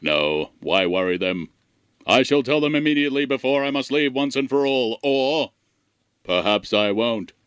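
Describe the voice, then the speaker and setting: A man's voice: mid-range voice, expressive, normal speed, a bit bright, clean tone, no room sound, clean studio sound, close to an everyday voice. A man voicing a doomed, wistful alien intelligence in solemn, portentous soliloquy for a comedic audio drama. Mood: solemn, resigned.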